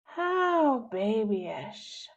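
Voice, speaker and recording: A woman's voice: a little high, very animated, extremely slow, exaggerated voice. A woman doing a sneering wicked old woman. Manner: mocking and grumbling. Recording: dull lo-fi recording.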